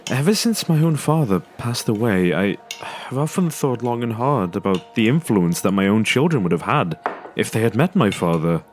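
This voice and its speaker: A man's voice: lowish voice, big pitch swings, normal speed, darkish tone. An actor playing an earnest, self-doubting father with a hesitant, stammering way of talking in an audio drama. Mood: reflective, self-doubting. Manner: hesitant, stammering, repeats words. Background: music.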